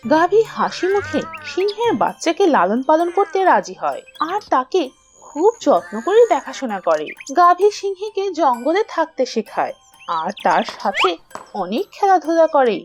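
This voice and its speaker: A woman narrating a cartoon story in an expressive, storybook manner. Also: high-pitched.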